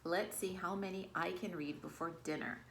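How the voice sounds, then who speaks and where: A woman voicing an eager, fearless young girl in a children's storybook, excitedly calling out. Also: lowish voice, moderate pace, a little hiss or hum, lightly acted.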